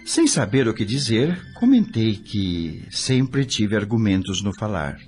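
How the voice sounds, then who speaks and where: Male actor as awed, curious newcomer spirit narrating an audio novel, low register, animated delivery. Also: a little slow, soft background music. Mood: wondering, reflective. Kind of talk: dramatized scripted narration.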